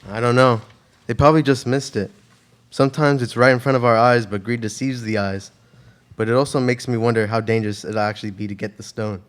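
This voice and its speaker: A man's voice: medium pitch, fairly even intonation, fairly quick, natural voice. A student actor playing an eager, easygoing male ex-treasure hunter and pilot in an amateur radio play. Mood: focused. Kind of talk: amateur radio theater acting.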